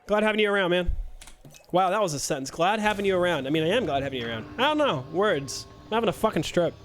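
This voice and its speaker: A man's voice: high voice, lively intonation, quick pace, fairly bright tone. A young man streaming a game live, chatting with his viewers in a joking, self-mocking way. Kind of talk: casual live-stream chat while playing.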